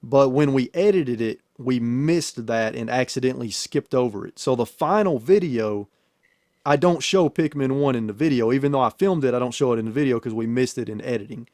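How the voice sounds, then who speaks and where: A man's voice: mid-range voice, brisk, a little mellow, dry recording, clean studio sound. A man hosting a podcast in a casual, profane, storytelling chat style. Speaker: podcast host and YouTuber.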